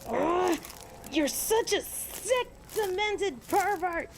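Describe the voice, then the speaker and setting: A woman playing a proud, defiant, sharp-tongued werewolf in an audio drama, with a stern, sardonic manner. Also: very high voice, expressive, extremely slow, full character voice. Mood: exasperated. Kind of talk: dramatic audio roleplay acting. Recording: faint background noise.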